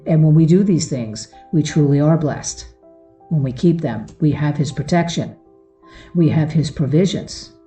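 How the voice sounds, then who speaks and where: A woman's voice: sits low, animated, measured pace, dark tone, soft background music. A woman giving an informal devotional talk to her followers, speaking in an earnest and affectionate way. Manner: earnest, affectionate address to listeners. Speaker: host of a religious teaching show. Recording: roomy echo, far from mic.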